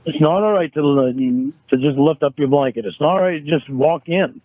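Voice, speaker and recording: A man's voice: fairly high pitch, dry recording. Man arguing on a tinny phone line. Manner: halting, defensive, repeats words as he restarts. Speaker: caller in a recorded phone argument.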